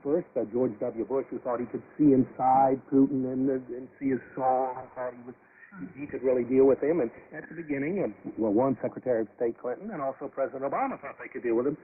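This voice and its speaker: A man's voice: medium pitch, quick pace. American male panel host, mid-range voice, conversational questioning, heard over narrow shortwave radio. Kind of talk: conversational interviewing. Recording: muffled, faintly noisy shortwave radio broadcast.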